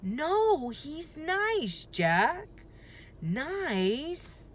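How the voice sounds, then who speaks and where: A woman voicing a carefree, trusting and excitable little girl in a children's storybook, with short exclamations. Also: sits high, very slow, slight background noise, voice pushed up, exaggerated voice. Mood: carefree, insistent.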